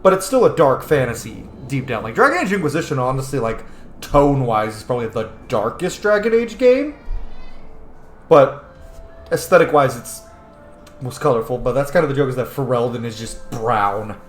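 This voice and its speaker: Animated man chatting over a game, roomy sound. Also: mid-range voice, moderate pace, soft background music. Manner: chatty, informal, musing. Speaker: let's player. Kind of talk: casual game commentary. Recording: roomy echo with faint background noise.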